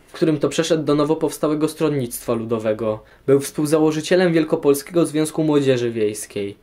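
Young male guest, slightly high-pitched, formal read-out explanations. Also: a little mellow. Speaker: programme guest. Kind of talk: scripted informative talk, read out.

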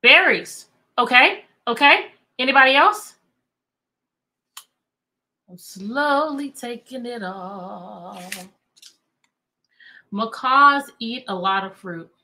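Bright, high-voiced American woman teacher. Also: slow, long pauses, low-quality recording. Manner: animated, question-asking, chatty asides to young listeners. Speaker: teacher and read-aloud host. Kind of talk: interactive children's read-aloud lesson. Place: indoor room with bookshelves.

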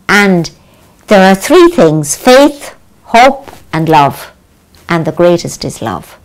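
An older Irish woman, a religious sister, talking thoughtfully in a living room interview with many pauses. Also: fairly low pitch, a little mellow. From Ireland. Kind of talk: reflective conversation.

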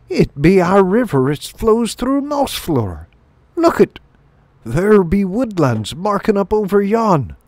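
A man voicing an excitable, simple country mole in a storybook, speaking broad rustic dialect full of wonder. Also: sits high, no echo, voice pushed up, heavily acted. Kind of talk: storybook character voice.

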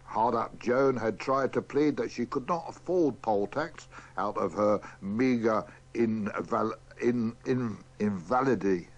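A man's voice: medium pitch, takes it slowly, lo-fi sound. A man reading scripted news on the radio. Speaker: newsreader.

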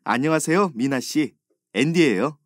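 A man voicing a friendly young learner in textbook dialogues, with clear and careful diction. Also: on the high side, expressive, normal speed, natural voice. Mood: polite. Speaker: dialogue voice actor.

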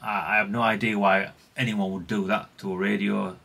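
Gravelly, low-voiced British man rambling casually. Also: little pitch movement, moderate pace, pushed and bright. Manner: rambling, chatty, plain-spoken, tag questions. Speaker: hobbyist radio repair vlogger. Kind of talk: casual unscripted workbench commentary.

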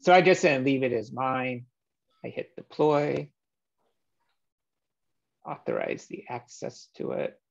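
A man in his thirties explains code to a meetup from his desk, his voice a little gravelly and unhurried. He talks in a loose, relaxed way, full of "um"s. The sound comes through a slightly dull video-call mic. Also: medium pitch. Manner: relaxed, explanatory, many "um" fillers. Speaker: meetup presenter. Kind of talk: screen-share coding walkthrough. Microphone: webcam or laptop mic on a video call.

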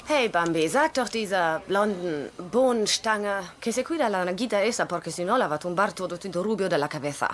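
An actress playing a feisty, sassy hospital nurse in a TV comedy dub. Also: female voice, medium pitch, lively intonation, brisk, few pauses, close to an everyday voice. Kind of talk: German-dubbed TV comedy acting.

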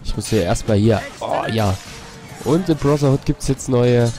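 A man's voice: mid-range voice, moderate pace, over music. A man with a cold, casually commenting on the game he is playing and muttering asides to himself. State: has a cold. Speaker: let's play host.

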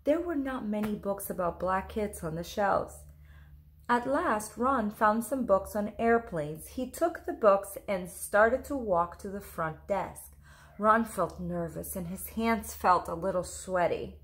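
A woman in her thirties reading a picture book aloud, expressive, deliberate and dramatic, like a teacher. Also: medium pitch. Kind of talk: expressive storybook read-aloud. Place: small room at home. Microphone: camera or phone at close range.

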